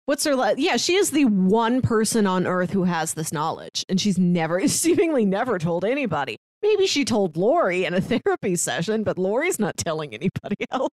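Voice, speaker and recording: Animated woman chatting on a podcast. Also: mid-range voice, normal speed, hardly any pauses, no room sound. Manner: animated, laughs often, acts out quotes. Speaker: podcast co-host. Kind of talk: casual chat reviewing a TV show.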